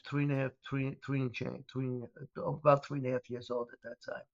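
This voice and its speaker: A man's voice: medium pitch, flat delivery, brisk, creaky, no room sound. An older man from Brooklyn, recounting his past in a rambling way as an interview guest. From Brooklyn, New York. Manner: rambling, many uh fillers and restarts. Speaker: guest, former mobster turned speaker. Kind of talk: storytelling interview. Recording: dull, dry lo-fi audio.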